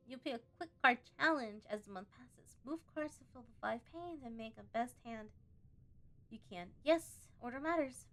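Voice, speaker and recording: A woman doing a casual let's play, reading on-screen game text aloud and breaking off to think out loud. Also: fairly high pitch, lively intonation, normal speed. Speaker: gaming video host.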